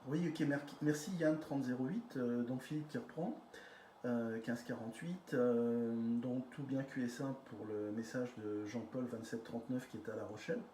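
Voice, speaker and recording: Mellow-voiced man in an echoey room. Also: medium pitch, steady tone, normal speed. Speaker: amateur radio operator. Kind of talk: casual radio group exchange. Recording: roomy echo with faint noise.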